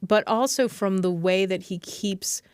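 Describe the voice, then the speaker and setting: A woman's voice: mid-range voice, measured pace, darkish tone, clear, resonant tone, no room sound. A woman hosting a podcast, analysing film conversationally in a thoughtful, emphatic way. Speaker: podcast host, film theory teacher.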